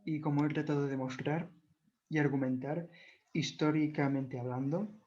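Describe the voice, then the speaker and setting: Devout Catalan-native man lecturing slowly. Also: fairly high pitch, fairly even intonation. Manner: measured, devout, corrects himself often. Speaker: video lecturer. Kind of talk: explanatory talk on church history. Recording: dull lo-fi recording.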